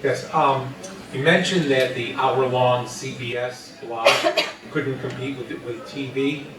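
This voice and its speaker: A man in an audience asking a question in a casual, halting way. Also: medium pitch, steady tone, very noisy. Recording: echoey room, distant mic.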